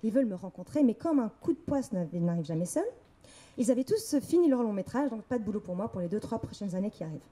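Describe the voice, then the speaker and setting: A woman's voice: mid-range voice, expressive, quick pace, dark tone. A young woman from Morocco giving a candid personal talk on stage, telling her story with laughter and quoted voices. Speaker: speaker. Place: event hall.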